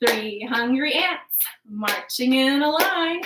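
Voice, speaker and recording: A woman hosting a storytime for young children, talking in an animated, encouraging, playfully exaggerated way, recorded in a living room. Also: sits high, takes it slowly, background noise. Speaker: children's storytime host at a public library. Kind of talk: children's storytime, rhymes and counting. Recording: roomy, echoing home recording.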